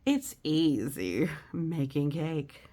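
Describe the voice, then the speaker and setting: A woman voicing a naughty young girl from a rhyming storybook, guilty yet eager, in a drawn-out, deliberate verse delivery. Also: low voice, lively intonation, mellow, full character voice. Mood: sheepish, hopeful. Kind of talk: rhyming storybook read aloud.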